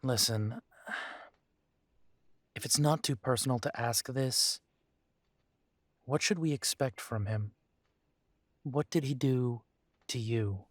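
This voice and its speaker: Man playing slow-talking, earnest werewolf alpha. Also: mid-range voice, dry recording, clean studio sound. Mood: curious, probing. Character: earnest, protective young male werewolf pack alpha. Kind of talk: audio roleplay acting.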